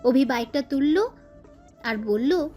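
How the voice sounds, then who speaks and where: A woman's voice: high-pitched, lively intonation, takes it slowly, dark tone, soft background music. A woman reading scripted narration as the storyteller of a romantic audio story.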